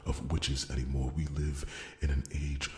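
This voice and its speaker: A man performs a devoted fantasy blacksmith and warrior with a rock-bottom pitch and little melodic movement. He speaks earnestly and haltingly, often repeating words, breathy to the edge of a whisper. It is an ASMR roleplay with a noticeably roomy, echoing sound. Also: flat delivery, dark tone. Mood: grave, reflective.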